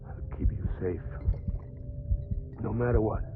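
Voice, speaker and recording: A man's voice: fairly low pitch, takes it slowly. An actor playing a grim, weary lone man with protective devotion in a cinematic game cutscene. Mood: solemn, protective. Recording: very noisy, thin phone-like sound.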